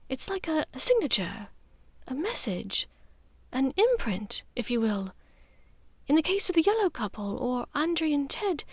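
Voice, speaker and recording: A refined middle-aged witch, acted by a woman narrator, speaks in a raised, high register. She is measured and precise yet emotional, as in a storybook, on a very dry recording. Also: dry recording. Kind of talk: audiobook character dialogue.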